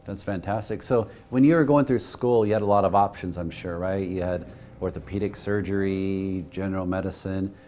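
A middle-aged man with a fairly low voice hosts a studio interview. He asks questions in a friendly, upbeat way. He is recorded on a lapel mic in a television studio. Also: fairly even intonation, normal speed, mellow, a little hiss or hum. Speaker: talk show host.

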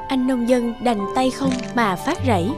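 A woman's voice: fairly high pitch, unhurried, music in the background. A woman reading scripted storybook narration as the narrator of a folk tale.

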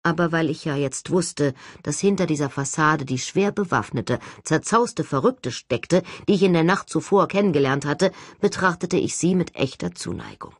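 A woman narrates a novel in the first person. Her voice sits low for a woman, and the sound is dry, with hardly any room in it. She reads as an audiobook narrator. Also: expressive, moderate pace, hardly any pauses, dry recording.

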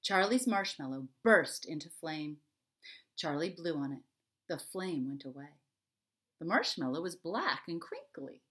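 A middle-aged woman with a slightly low voice talks to young children as an author would. Her delivery is expressive and conversational, and she leans on pauses for emphasis as she reads or explains. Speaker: children's author reading her book and giving a writing prompt. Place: small indoor home room.

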